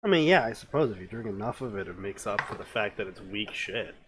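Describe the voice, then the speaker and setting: A young man co-hosting a stream, telling work anecdotes in casual, deadpan chat with lots of fillers. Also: lowish voice, quick pace, heavy background noise. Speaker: stream co-host.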